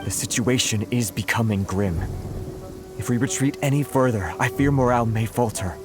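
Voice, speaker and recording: A man's voice: mid-range voice, normal speed, lightly acted. Actor as worried male officer, grave, formal, sombre, game drama, prominent music, rain. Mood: grim, worried. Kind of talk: dramatic video game voice acting.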